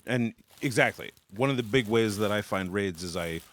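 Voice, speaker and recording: A man's voice: lowish voice, animated, moderate pace, a bit bright. A man bantering casually as a stream co-host, joking and rambling in a mock-earnest way.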